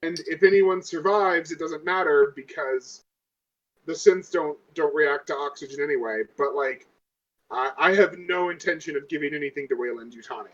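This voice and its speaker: A man's voice: fairly high pitch, moderate pace, a bit bright, dull audio. A man chatting casually as a player in a tabletop game, insistent and restarting his questions.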